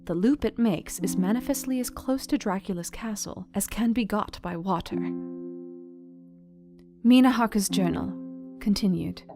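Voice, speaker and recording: A woman playing an intelligent young Victorian wife, narrating her journal in a measured, precise and formal manner. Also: mid-range voice, over music, close to an everyday voice. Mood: composed, analytical. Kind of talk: dramatized scripted journal narration.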